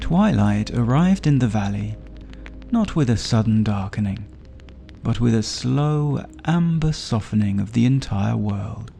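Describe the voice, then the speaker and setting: Male bedtime storyteller, low-energy mellow tone with creaky edges, soothing and gentle, faint music underneath. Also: animated. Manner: soothing, gentle, unhurried delivery. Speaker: storyteller and host of a sleep story channel. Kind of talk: slow bedtime story narration.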